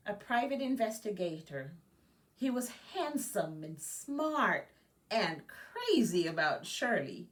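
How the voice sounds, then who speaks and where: A woman reading a children's picture book aloud, phrasing deliberately and stressing key words. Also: a little high, distant mic. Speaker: guest storybook reader.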